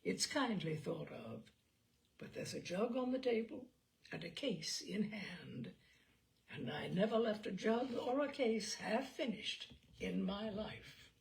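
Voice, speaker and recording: A woman's voice: mid-range voice, very animated, takes it slowly, gravelly, full character voice. A woman voicing a composed, firm, folksy male American lawyer and orator in a storybook reading. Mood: gentle. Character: cool, stouthearted American lawyer and orator, a grown man.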